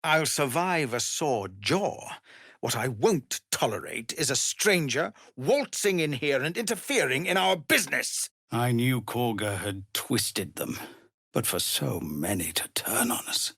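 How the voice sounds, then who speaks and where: A noble, worn-down male leader of refugees for a video game. His voice is husky and grave, gravelly when strained, and recorded very dry. He speaks in a measured, formal way with an expressive, widely moving pitch. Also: no echo, exaggerated voice. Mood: solemn, frank, then weary, sorrowful. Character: weary, honourable male leader of embattled refugees. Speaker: video game character actor. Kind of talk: dramatic game dialogue.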